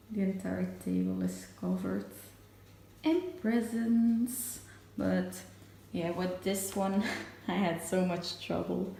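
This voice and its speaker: A young woman in her twenties with a slightly raspy just-woken voice and hiccups, chatting casually while showing gifts, recorded on a handheld camera. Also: on the low side, mellow, echoey room, a little hiss or hum. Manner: chatty, self-narrating while wrapping gifts. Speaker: vlogger. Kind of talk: casual vlog chat. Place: home kitchen and living room.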